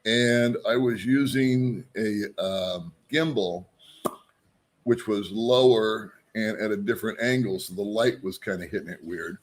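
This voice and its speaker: A middle-aged man hosts a relaxed live stream and talks to his viewers in a somewhat low voice. He pokes fun at himself and greets people by name as they join. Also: measured pace. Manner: joking, self-deprecating, greets viewers by name. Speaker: vlogger hosting a live stream.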